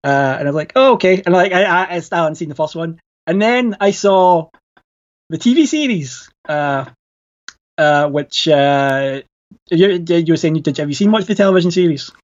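A man's voice: fairly high pitch, lively intonation, moderate pace, highs cut off. A man with a Glasgow accent, a podcast guest chatting casually, hesitant with many fillers and restarts. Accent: Glaswegian. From Scotland. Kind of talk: casual chat.

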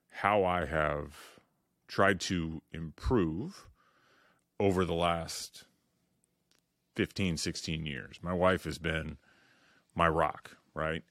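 A middle-aged man with a deep voice hosting his own podcast, talking candidly and earnestly with many restarts and "you know"s. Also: a bit bright, dry recording, clean studio sound. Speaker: podcast host. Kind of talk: reflective solo podcast monologue.

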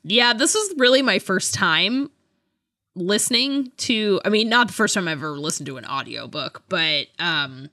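Woman, podcast co-host, bright tone, animated intonation, casual chat, giggly delivery. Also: medium pitch, normal speed. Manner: giggly, laughs while talking. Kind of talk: casual book-review chat.

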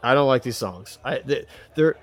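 A man co-hosting a podcast in casual banter, ranting in a halting way and repeating himself with mild exclamations. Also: mid-range voice, animated, a faint crowd. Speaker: podcast co-host.

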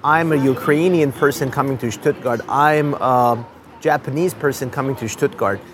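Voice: mid-range voice, normal speed, noisy background. An interviewer, not a native German speaker, asking about language teaching with eager, affirming remarks and repeated words. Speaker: interviewer, host. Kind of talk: casual interview conversation.

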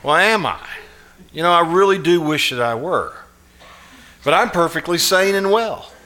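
A man acts out an anxious man gripped by an obsession in an old-time radio thriller. His voice sits a little high and swings widely, halting and pleading with dramatic earnestness. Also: expressive, bright, forceful tone. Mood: agitated, sleepless. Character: anxious, haunted man obsessed with a shadowy vision. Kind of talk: live old-time radio suspense acting.

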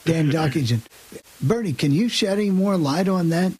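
A man's voice: a little high, lively intonation, normal speed, warm tone, breathy edge. A man hosting a casual radio talk show in an informal, teasing way.

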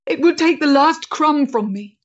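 A woman playing a proud, bitter, disillusioned woman in a period drama, speaking with intense deliberation and a husky voice. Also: sits high, expressive, normal speed, lo-fi sound. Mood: bitter, hopeless. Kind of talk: period audio drama acting.

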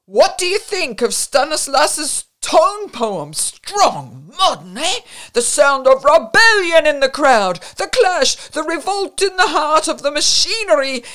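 A woman's voice: high-pitched, pitched above the performer's own voice, heavily acted. A woman narrator voicing a gaunt, vehement bohemian man with a guttural, barking delivery in an audiobook. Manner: loud, barking, emphatic.

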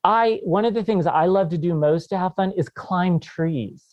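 A man's voice: sits high, moderate pace, a little mellow, dry recording, muffled recording. A man in his fifties, a guest author on a podcast interview, talking with enthusiasm and laughing often. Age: 50s.

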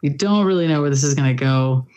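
A woman's voice: extremely low pitch, quick pace, darkish tone. A woman from California co-hosting a casual beer podcast. She talks with a dry, sarcastic edge and laughs easily between her remarks. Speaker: podcast co-host. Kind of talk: casual chat.

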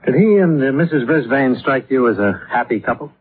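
An American actor playing a cool, terse insurance investigator in a vintage radio detective drama, matter-of-fact in manner. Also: male voice, medium pitch, lively intonation, brisk, a little hiss or hum, close to an everyday voice. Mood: probing. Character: probing, terse male insurance investigator, hard-boiled and cool. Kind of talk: 1950s radio detective acting. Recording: muffled, phone-like vintage radio recording.

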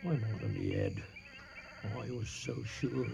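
An actor with a British accent playing a frightened colonial police inspector in a radio drama, agitated and halting in delivery. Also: male voice, on the low side, wildly swooping pitch, vocal fry, warm tone, slight background noise, exaggerated voice. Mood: frightened, rattled. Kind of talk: 1980 radio drama acting.

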